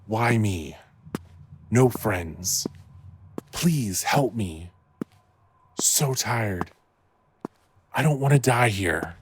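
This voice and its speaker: A man's voice: lowish voice, expressive, drawn-out words, pauses often, slight background noise. A man acting as a trapped, exhausted miner, desperate and despairing, muttering brokenly in an audio drama. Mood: hopeless, weary.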